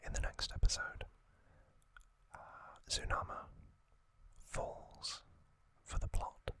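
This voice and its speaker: An ASMR host whispers very slowly in a breathy, unvoiced hush. The sound is bright and crisp at the edges, and the delivery is halting, with gentle pauses between short phrases as trivia is recapped. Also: dry recording.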